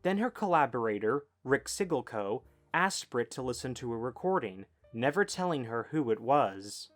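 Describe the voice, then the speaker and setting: A man's voice: mid-range voice, big pitch swings, moderate pace. A man reading scripted documentary narration as a video essay narrator. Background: background music.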